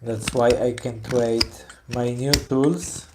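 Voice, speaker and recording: A man's voice: mid-range voice, steady tone, slow, heavy background noise. A man from Poland with a Polish accent, a woodcarver who chats while he works and keeps stopping to search for English words. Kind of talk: casual chat while carving.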